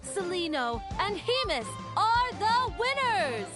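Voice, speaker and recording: Female game announcer, very high pitch, bold, theatrical, declamatory, background music. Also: sing-song, slow pace, exaggerated voice. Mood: proclaiming. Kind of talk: video game voice acting.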